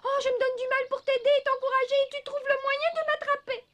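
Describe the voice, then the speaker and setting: A woman voicing a small, fussy female duck in a French cartoon dub, scolding and whiny with a squeaky quacking voice. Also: flat delivery, fast talker, fairly bright tone. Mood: indignant, hurt. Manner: scolding, complaining, dramatic. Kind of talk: French animated series dub.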